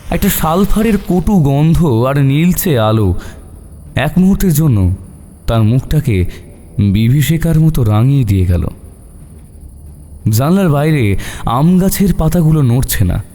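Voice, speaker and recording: Animated man narrating horror in long reverb. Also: fairly high pitch. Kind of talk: dramatic scripted horror story narration. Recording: long reverb over faint music. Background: faint music.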